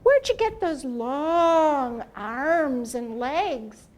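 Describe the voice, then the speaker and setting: A woman voicing a timid little male turtle in a children's story, with a high, whiny voice. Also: lively intonation, extremely slow, darkish tone, heavily acted. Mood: curious.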